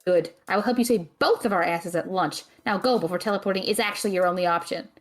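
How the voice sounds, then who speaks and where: A woman playing a stern, stressed young female magic student in an audio drama, with precise, deadpan, teasing delivery. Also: mid-range voice, quick pace, soft background music, close to an everyday voice. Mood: stressed, bossy. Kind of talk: audio drama acting, dry banter.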